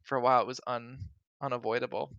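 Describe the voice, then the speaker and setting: Bright-voiced excitable man. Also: medium pitch, quick pace, no room sound, highs cut off. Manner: excitable, acts out quotes with big swings in loudness. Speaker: podcast co-host. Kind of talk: casual chat.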